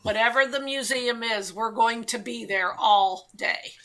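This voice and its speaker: A woman chimes in with chatty, amused asides beside her husband's talk. Her voice sits somewhat high and sounds bright, heard over a video call. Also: measured pace. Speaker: guest, co-presenter. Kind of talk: casual chat.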